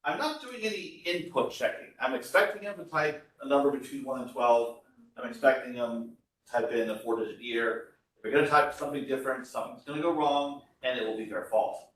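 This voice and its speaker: A man's voice of middling pitch rings heavily around a classroom, picked up some way from the microphone. He lectures like a university instructor, informal and conversational. He explains things one step at a time. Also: a little fast, a bit bright. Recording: echoey room, voice far from mic.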